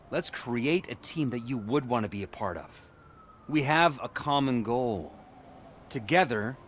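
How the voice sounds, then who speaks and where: An actor playing an ambitious politician in a video game, persuasive and ingratiating in a measured, rhetorical way. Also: male voice, medium pitch, slight background noise, lightly acted.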